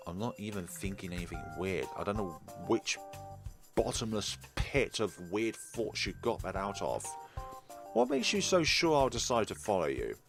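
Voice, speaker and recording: A man with a fairly low voice reads visual novel narration as a let's play host. He slips in argumentative, teasing asides, with music playing behind him. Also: big pitch swings, normal speed, creaky. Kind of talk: reading game narration aloud with ad-libbed commentary.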